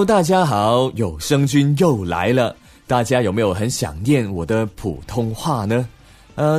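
A man's voice: on the low side, a little mellow. A man hosting a podcast, talking casually to listeners as he introduces and recommends things. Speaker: podcast host. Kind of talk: casual recommendation show talk. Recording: music behind the voice. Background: background music.

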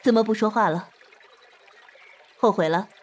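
A woman playing an indulgent but authoritative middle-aged mother in a Chinese costume audio drama, measured and gently chiding. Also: medium pitch, breathy edge. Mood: stern, chiding.

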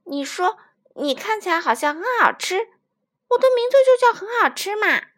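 A woman's voice: very high voice, very animated, normal speed, a bit bright, pitched above the performer's own voice, heavily acted. A woman voicing an innocent, adoring baby ankylosaurus boy in a storybook, childlike, exclaiming and pleading. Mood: cheerful, eager.

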